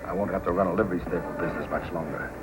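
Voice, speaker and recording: An actor playing a scheming, bossy fur thief in an old-time radio drama with commanding delivery. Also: male voice, low voice, fairly even intonation, racing, natural voice. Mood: ardent, boastful. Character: scheming male fur thief and livery man, bossy, smitten. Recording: old radio recording with loud music.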